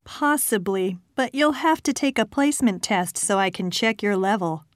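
A woman voicing students, a professor and an office clerk in scripted exam dialogues, with a clear, polite delivery. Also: mid-range voice, animated, moderate pace, dry recording, studio quality.

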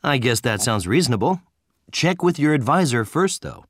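A man voicing students and a professor in scripted dialogues for a listening exam, with a clear, friendly delivery. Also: mid-range voice, very animated, moderate pace, fairly bright tone, no echo, clean studio sound.

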